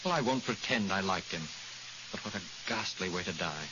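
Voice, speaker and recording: An actor playing a well-bred gentleman in a 1940s radio drama style. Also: sits low, expressive, fairly quick. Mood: shaken. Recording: muffled old radio recording with hiss.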